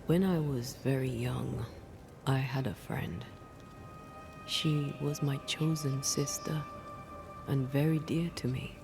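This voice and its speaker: Guarded woman ghost hunter, video game drama, talks slow n hushed, bit of fry, music under it. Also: very deep voice, steady tone, full character voice. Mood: wistful, sorrowful.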